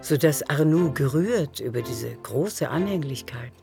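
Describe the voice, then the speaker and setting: A woman's voice: sits low, lively intonation, measured pace, vocal fry. A woman narrating a literary radio drama in a scripted, storytelling delivery. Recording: music behind the voice.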